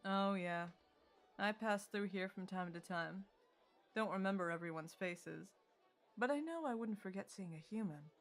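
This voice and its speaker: A woman's voice: medium pitch, moderate pace, natural voice. A woman playing a wry, teasing winged adventurer in an audio roleplay, dry and nonchalant. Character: winged female Coatla adventurer, wry and unbothered tavern drinker. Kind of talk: audio roleplay drama acting. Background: music.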